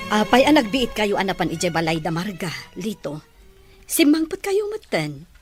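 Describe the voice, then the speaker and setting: A woman with an Ilocano accent playing a questioning, reproachful woman of the household in a radio drama, with a husky voice. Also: mid-range voice, sing-song, moderate pace, music in the background, close to an everyday voice.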